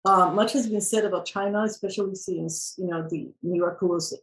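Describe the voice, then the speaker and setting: A woman's voice: on the low side, fairly even intonation, low-quality recording. Woman panelist from Peru. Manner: measured, with fillers. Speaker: panelist, journalist. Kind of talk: panel presentation.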